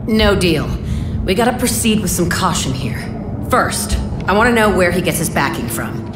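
An actress playing a tough, shrewd resistance leader in a video game, with a firm, businesslike manner. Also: female voice, lowish voice, expressive, normal speed, lightly acted. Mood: grim, cautious. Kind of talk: video game dialogue acting. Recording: echoey room, music behind.

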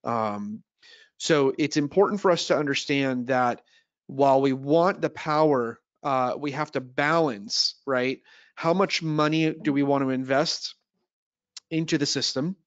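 A man's voice: medium pitch, a little slow, no echo, muffled recording. A man presenting in an explanatory webinar style, teaching step by step and checking in as he goes. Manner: didactic, checks understanding with "right?". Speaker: audio trainer and presenter. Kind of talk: explanatory product webinar talk.

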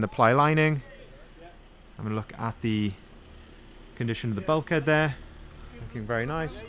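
A man's voice: mid-range voice, a little hiss or hum. A young man, a van sales manager, doing an upbeat walkaround review, recorded on a handheld phone outdoors at a dealership lot. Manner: upbeat salesman pointing out features and flaws. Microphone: handheld phone camera, filming first-person.

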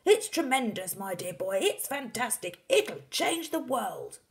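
A woman voicing an excitable, overeager storybook father with urgent, exclamatory delivery. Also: a little high, moderate pace, voice pushed up. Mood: feverishly excited. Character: excitable, bossy, overeager father hatching grand schemes.

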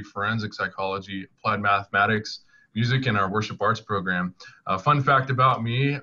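A man's voice: on the low side, sharp tone. A man in his twenties casually introducing himself as an admissions counselor on a video call. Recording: dull video-call audio.

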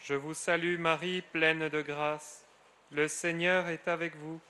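A middle-aged friar leading solemn prayer in a measured cadence, his phrases falling away at the end. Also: on the high side, flat delivery, bright, forceful tone, a little hiss or hum. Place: outdoors at a grotto shrine.

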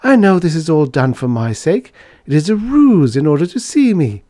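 A gushing, lovesick young maiden played by a male reader pleads in a very high voice. She is melodramatic and overwrought, swooping up and down like a storybook heroine. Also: very animated, normal speed, mellow. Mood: adoring.

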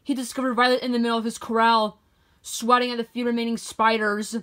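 A woman with a fairly high voice reads a children's story aloud. Her delivery is expressive, clearly shaped for storytelling. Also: brisk. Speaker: storybook narrator. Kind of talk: children's read-aloud narration.

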